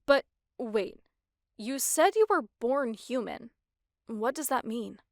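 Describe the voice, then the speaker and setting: A woman voicing a curious, excitable, nerdy teenage boy in a comic dub, eager and inquisitive. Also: fairly high pitch, slow pace, dry recording, studio quality. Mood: puzzled, uneasy.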